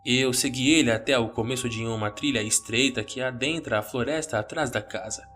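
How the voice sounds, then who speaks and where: A Brazilian man narrates a scripted horror tale in the first person. His voice sits at an average male pitch, with soft background music underneath. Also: fairly quick.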